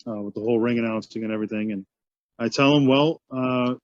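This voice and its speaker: A man telling a story in an animated, amused way. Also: mid-range voice, quick pace, no room sound. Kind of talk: storytelling anecdote. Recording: dull muffled sound.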